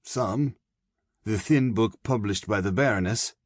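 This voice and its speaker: A man voicing a plump, soft-spoken middle-aged German colonel with a German accent, courteous and probing. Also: fairly low pitch, a little slow, no echo, clean studio sound, voice pushed up.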